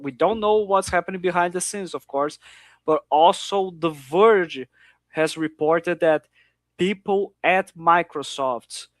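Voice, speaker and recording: A man from Brazil with a Brazilian Portuguese accent, a podcast panelist in casual chat, speaking in careful, slightly halting phrases. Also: mid-range voice, a bit bright, no room sound.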